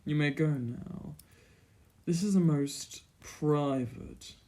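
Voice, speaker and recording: A man's voice: on the high side, warm tone. A man playing a self-assured, courtly and condescending vampire prince in a scripted fantasy ASMR roleplay. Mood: courteous, dismissive. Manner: formal, condescending, deliberate.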